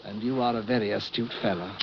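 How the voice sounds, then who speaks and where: An actor playing a smooth, coolly polite enemy agent with a gun, in 1940s radio acting. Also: male voice, fairly low pitch, fairly even intonation, fast talker. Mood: menacing. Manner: suave, coolly polite and menacing. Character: smooth armed enemy agent posing as intelligence officer. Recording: dull old radio recording, faint hiss.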